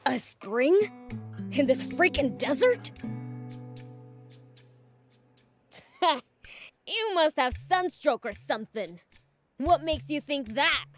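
A woman's voice: high voice, animated, slow, faint music behind. A woman voicing a cocky young demon prince with a brash, blunt manner in a video game cutscene. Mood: incredulous.

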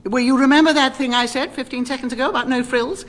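A woman's voice: high-pitched, steady tone, quick pace, lightly acted. An actress playing an imperious, sarcastic middle-aged English woman who runs an airline in a radio sitcom. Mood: withering. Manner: withering, sarcastic, bossy.

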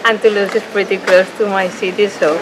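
A woman's voice: medium pitch, little pitch movement, a little fast. Young woman, hesitant, outdoors, noisy. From Spain. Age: young adult. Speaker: exchange student. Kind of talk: casual interview answers. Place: outdoors in a courtyard.

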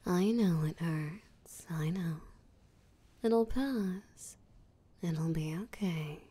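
Slow, low-voiced seductive vampire woman, acted. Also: mellow, heavily acted. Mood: tender, possessive. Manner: teasing, breathy, often drops to a whisper. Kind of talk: roleplay audio drama, intimate acting.

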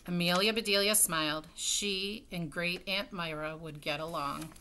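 Bright-voiced middle-aged woman reading storybooks. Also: lowish voice, steady tone, unhurried. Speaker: story time reader. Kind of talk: reading a children's storybook aloud with casual asides. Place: room at home by a window. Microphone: webcam at desk distance. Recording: webcam sound with faint noise, clicks.

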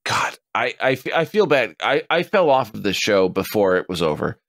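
A man in his forties on a podcast, chatting casually, animated and swearing freely as he acts out customers' lines. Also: on the low side, moderate pace, gravelly, no room sound, clean studio sound. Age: 40s. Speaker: podcast co-host.

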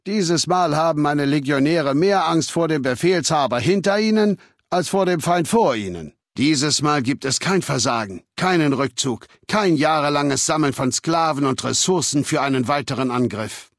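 An actor playing a ruthless, learned middle-aged warlord in a video game, speaking in a measured, authoritative, lecturing way with cold arrogance. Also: male voice, a little high, lively intonation, no echo, studio quality. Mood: cold, explanatory. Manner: measured, authoritative, lecturing, coldly matter-of-fact. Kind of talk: scripted video game dialogue in German.

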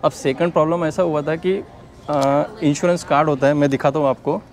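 A man's voice: a little high, fairly even intonation, fairly quick. Earnest Indian man vlogging in a store. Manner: earnest, explains step by step. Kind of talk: casual vlog talk mixing Hindi and English. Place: big-box store aisle, indoors.

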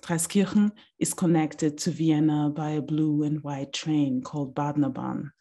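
A woman in her thirties giving a slow, deliberate reading of a reflective text over a video call from a small room. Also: deep voice, steady tone, dark tone. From Austria.

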